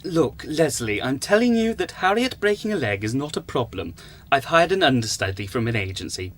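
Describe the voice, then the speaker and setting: A man's voice: medium pitch, sing-song, normal speed, a bit bright. A man playing a breezily confident amateur theatre director in a comic radio sketch, reassuring and optimistic.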